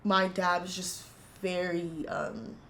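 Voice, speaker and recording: A woman in her twenties from the United States, telling her family story in an earnest, reflective interview, pausing often as she goes. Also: fairly low pitch, flat delivery, fairly bright tone, a little hiss or hum. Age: 20s. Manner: earnest, reflective, halting with frequent fillers. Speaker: interviewee, university student. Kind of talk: casual personal storytelling.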